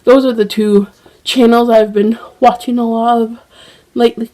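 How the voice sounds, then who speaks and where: A woman's voice: on the high side, flat delivery, normal speed, dark tone, low-quality recording. A young woman chatting casually about books as a vlogger, rambling with lots of fillers and restarts, a little congested from a cold. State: slightly congested with a cold, occasional cough. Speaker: book vlogger. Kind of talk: casual chat.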